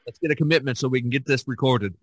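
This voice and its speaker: A man hosting a casual podcast discussion, thinking aloud in a halting way and repeating words. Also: medium pitch, breathy edge, no room sound. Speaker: podcast host and artist.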